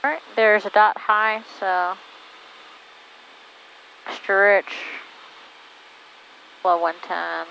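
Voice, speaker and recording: Female pilot, creaky voice, terse self-narrated flying callouts and radio calls, heard over a faintly noisy cockpit intercom. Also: mid-range voice, fairly even intonation, very slow, long pauses, pushed and bright. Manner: clipped checklist-like callouts. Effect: aviation intercom and radio headset. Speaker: pilot flying instrument approach. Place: small airplane cockpit in flight. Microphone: aviation headset.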